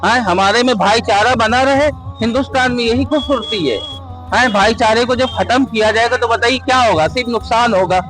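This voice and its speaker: Young male vox pop, extremely high register, insistent and repetitive, light music behind. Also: fairly quick, fairly bright tone, low-quality recording. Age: young adult. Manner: insistent, repetitive, tag questions. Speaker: member of the public interviewed. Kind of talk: spontaneous street interview.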